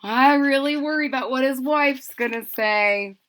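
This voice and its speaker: A woman's voice: high-pitched, normal speed, fairly bright tone. A woman reading a picture book aloud expressively, stretching words for emphasis and adding chatty asides for young listeners. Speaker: read-aloud storyteller.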